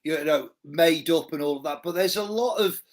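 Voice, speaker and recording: A man's voice: fairly high pitch, moderate pace. A man from North Wales hosting a live podcast, talking in a reflective, storytelling way. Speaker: podcast host.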